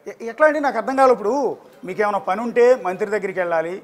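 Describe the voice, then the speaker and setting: A man's voice: high-pitched, very fast. A man anchoring a live news phone-in, repeating himself as he cuts in to question callers.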